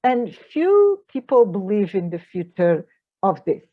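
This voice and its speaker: A woman's voice: mid-range voice, very animated, measured pace, phone-quality sound. A middle-aged woman from Portugal with a Portuguese accent, speaking English as a second language while giving an online lecture as a mediator and trainer, with frequent hesitations and non-native phrasing.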